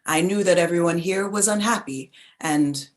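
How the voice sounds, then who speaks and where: A woman narrating a staged literary reading in a measured, lyrical, dramatic way. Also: lowish voice.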